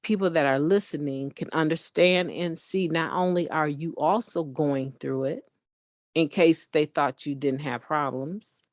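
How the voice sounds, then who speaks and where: A woman with a booming voice giving an earnest, encouraging spoken meditation on recovery, talking conversationally and often tagging her points with a questioning "right". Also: a little slow, no echo, studio quality.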